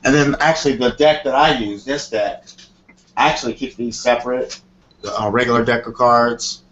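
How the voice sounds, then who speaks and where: A man's voice: mid-range voice, steady tone, normal speed, fairly bright tone. Rambling man on a noisy lo-fi line. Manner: rambling, repeats "you know what I mean". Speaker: tarot reader on a call-in show. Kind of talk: casual unscripted explanation. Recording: dull lo-fi audio with clear noise.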